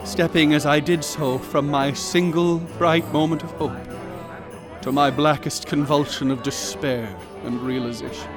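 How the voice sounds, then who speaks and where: An actor playing a haunted man who narrates his own horror in slow, ornate, dread-laden words. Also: a little high, steady tone, loud music behind the voice, lightly acted.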